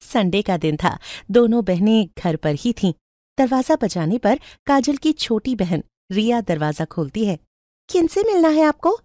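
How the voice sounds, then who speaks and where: A woman's voice: medium pitch, animated, unhurried, mellow, dry recording, clean studio sound. A woman reading scripted storybook narration.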